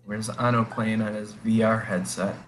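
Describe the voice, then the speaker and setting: A man running a tabletop game with a sore throat, talking haltingly with many fillers. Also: on the low side, little pitch movement, a little hiss or hum. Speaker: game master. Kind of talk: casual tabletop game chat.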